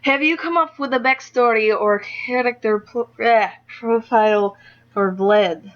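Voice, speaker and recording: A woman's voice: a little high, a little slow. A young woman doing a casual amateur interview, speaking in a hesitant, giggly way. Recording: dull lo-fi audio.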